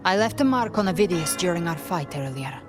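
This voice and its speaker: A woman's voice: lowish voice, lively intonation, fairly quick, lightly acted. A woman voicing a curt, confident, battle-hardened female warrior in a dramatic video game. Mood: curt, commanding. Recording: music behind.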